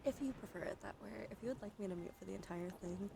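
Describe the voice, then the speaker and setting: Soft-spoken woman streamer over noisy ambience. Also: medium pitch, fast talker, gravelly. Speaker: live streamer. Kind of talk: casual ASMR livestream chat.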